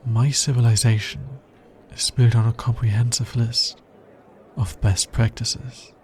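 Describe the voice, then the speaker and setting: A man's voice: medium pitch, a little mellow, slight background noise. A man playing an anxious, smitten alien scientist in an intimate ASMR roleplay, with hesitant, earnest and precisely formal speech. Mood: precise, musing.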